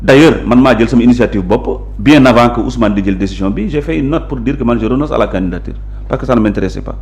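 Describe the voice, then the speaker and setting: A man with a Senegalese accent talks as an interview guest. He lays out his views firmly and at length, stressing his points. His pitch sits in the middle for a man. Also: fast, darkish tone. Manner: assertive, emphatic, explanatory. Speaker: interview guest, local politician. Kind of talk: conversational interview, mixing Wolof and French.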